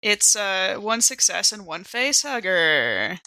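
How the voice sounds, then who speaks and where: Bright, creaky-voiced woman playing a tabletop game. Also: mid-range voice, big pitch swings, slow, no echo. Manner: informal, thinking aloud. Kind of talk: casual game-table chat.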